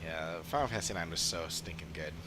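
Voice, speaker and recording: A man chatting casually as a game streamer, rambling and halting as he talks. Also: rumbling low, very noisy. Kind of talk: casual livestream chat. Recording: game music behind the voice. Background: video game music.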